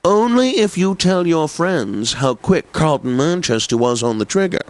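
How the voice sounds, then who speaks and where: An actor playing a pompous, snobbish classical radio DJ for a satirical video game station, with formal, theatrical enunciation. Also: male voice, fairly high pitch, very animated, normal speed, no room sound. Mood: smug, genteel.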